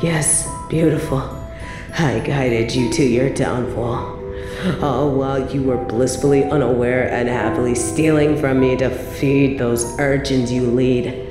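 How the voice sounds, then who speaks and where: Slow, menacing evil queen. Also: very deep voice, fairly even intonation, roomy sound, music in the background. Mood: gloating, possessive. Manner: theatrical, menacing, mock-tender, drawn-out phrasing. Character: evil obsessive possessive queen, cruel, gloating, sadistic. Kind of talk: scripted audio roleplay drama, addressed to the listener.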